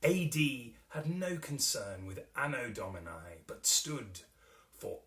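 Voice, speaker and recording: Guy reading classic fiction, theatrical and expressive, bright forceful tone, a little off the mic. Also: medium pitch, slow, recorded from a distance. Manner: theatrical, expressive, precisely phrased. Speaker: narrator. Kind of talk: dramatic literary audiobook narration.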